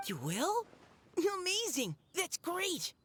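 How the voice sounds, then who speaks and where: A woman voicing a shy, earnest, easily excited young woman in a video game, with a hesitant manner. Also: very high-pitched, takes it slowly, a little hiss or hum, heavily acted. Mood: delighted.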